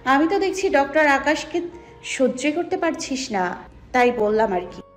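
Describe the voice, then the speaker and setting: A woman's voice: high-pitched, big pitch swings, moderate pace. A woman playing a playful, easily embarrassed young woman in a dramatized audio love story. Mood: teasing. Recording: music under the voice.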